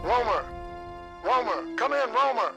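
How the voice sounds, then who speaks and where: An actor playing an avuncular, wry resistance leader in a video game, speaking formally and with command. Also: male voice, extremely high pitch, big pitch swings, unhurried, over music, full character voice. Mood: urgent. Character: avuncular resistance leader, wry older man giving orders. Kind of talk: video game cutscene acting.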